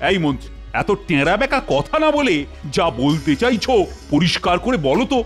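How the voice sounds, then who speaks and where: A man playing an authoritative, easily exasperated cartoon king with a commanding, expressive manner. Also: high voice, brisk, faint music behind. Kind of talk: Bengali cartoon voice acting.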